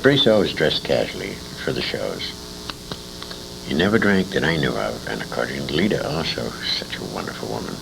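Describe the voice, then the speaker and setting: A man's voice: very deep voice, very animated, normal speed, creaky. An older American man reminiscing in an interview, telling anecdotes in a rambling, emphatic way with asides to his listener. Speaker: interviewee, storyteller. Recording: noisy amateur 1980s convention interview recording.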